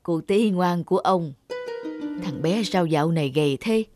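A woman's voice: lowish voice, normal speed, natural voice. A woman voicing a frail, tender old grandfather in a children's cartoon, speaking in an earnest, doting way. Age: older. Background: music.

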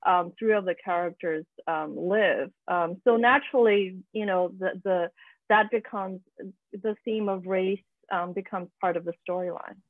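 A woman's voice: fairly low pitch, a little slow, no room sound. A young woman, a documentary filmmaker, talking casually with many fillers and hesitations over a video call with an earphone mic. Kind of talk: panel discussion answer. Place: home room, indoors. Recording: tinny, dry video call audio.

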